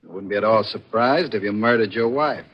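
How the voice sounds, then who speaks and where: An actor playing a tough, taunting investigator in a 1940s radio thriller, with sneering, clipped delivery. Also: male voice, fairly low pitch, brisk, breathy edge. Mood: taunting, accusing. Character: tough, taunting male investigator holding a killer at gunpoint. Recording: dull vintage 1940s radio recording.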